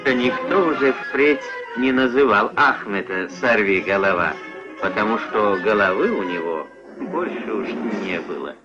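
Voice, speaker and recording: A man narrating a puppet-film folk tale in a theatrical, expressive storybook style. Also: lowish voice, unhurried. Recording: dull old film soundtrack. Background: music.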